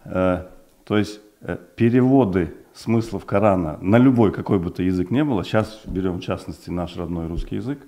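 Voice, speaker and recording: A man in his forties, first language Uzbek, talks as a guest in a small television studio. His voice sits low and sounds dark and mellow. He speaks thoughtfully, pausing with fillers and restarting his phrases. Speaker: project developer guest. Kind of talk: studio interview. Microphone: desk mic on stand.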